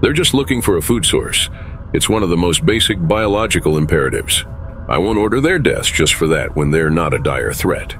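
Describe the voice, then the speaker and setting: A man acting in an audio drama as a calm, principled starship captain, measured and authoritative, with rumble behind him. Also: soft background music, full character voice. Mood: thoughtful, decisive. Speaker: lead actor playing a starship captain.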